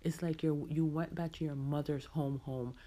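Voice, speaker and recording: Middle-aged woman, lower-pitched, reflective podcaster. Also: fairly even intonation, normal speed, mellow. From Jamaica. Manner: reflective, conversational, repeats phrases for emphasis. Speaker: podcast host. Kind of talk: casual personal monologue.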